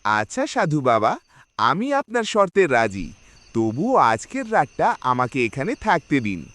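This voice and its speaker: A man's voice: mid-range voice, huge pitch swings, normal speed, fairly bright tone. A man voicing a poor, fretful woodcutter and father in a cartoon, dramatic and pleading in his delivery. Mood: resigned. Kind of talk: cartoon voice acting.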